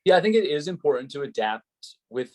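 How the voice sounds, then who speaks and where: A man's voice: a little high, sing-song, fast talker, mellow. A man who works as a sports play-by-play announcer, speaking conversationally in an interview and explaining his advice in an earnest, emphatic way.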